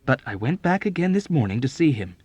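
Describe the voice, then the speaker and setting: A male reader plays a sheepish army chaplain from a novel. The soft voice sits higher, plaintive and earnest, forever apologising and justifying itself, in a dry recording. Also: sing-song, brisk, slightly rough, no room sound. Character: meek, sheepish, unhappy military chaplain, plaintive and self-justifying.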